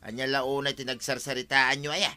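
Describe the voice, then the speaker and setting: A man's voice: mid-range voice, little pitch movement, fairly quick, pushed and bright, clear, resonant tone. Brash, piercing village man. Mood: brash, inquisitive. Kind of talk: Ilocano radio comedy drama acting.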